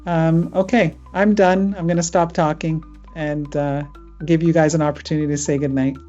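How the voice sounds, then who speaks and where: Middle-aged woman host, low voice, chatty and informal, muffled video-call sound. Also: fairly even intonation, normal speed, dark tone, faint music behind. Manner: chatty, with frequent fillers and laughs. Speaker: immigration lawyer hosting a webinar. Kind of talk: casual webinar talk.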